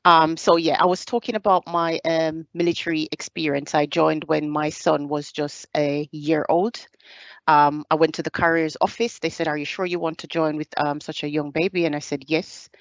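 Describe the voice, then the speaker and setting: A Jamaican woman in her thirties, a soldier giving a hesitant presentation over a video call, pausing often on fillers. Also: low-pitched, level tone, no echo, lo-fi sound. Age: 30s. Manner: hesitant, many filler pauses. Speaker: serving army staff sergeant giving a talk.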